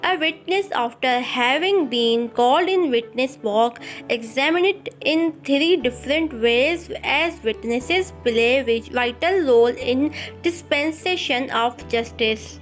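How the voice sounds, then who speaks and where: A woman giving an exam-focused law lecture. She reads English notes slowly and then explains each point in Hindi-Urdu. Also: fairly high pitch.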